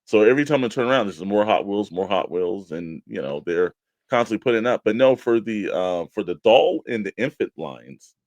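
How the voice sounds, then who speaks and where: A man with a fairly low voice, recorded dry and close, talks casually as the co-host of a collectors' show. His delivery is conversational and informal. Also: lively intonation, normal speed, a little mellow, dry recording, muffled recording. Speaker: toy talk show co-host. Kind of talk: casual chat about toy collecting.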